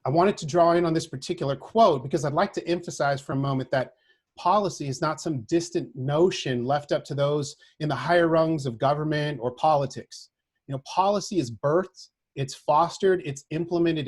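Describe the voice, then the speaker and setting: Husky-voiced man giving an earnest lecture. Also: on the high side, a little mellow. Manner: earnest, deliberate, often adds "right?" as a check. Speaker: social work professor. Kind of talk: prepared lecture to an audience.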